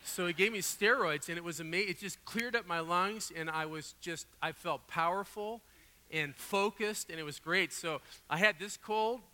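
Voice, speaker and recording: Raspy man preaching, a bit higher voice, chatty storytelling, big reverby sanctuary. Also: moderate pace. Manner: animated, conversational storytelling with asides. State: raspy voice from a cold. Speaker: guest pastor. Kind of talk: sermon. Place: church sanctuary. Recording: long hall reverb.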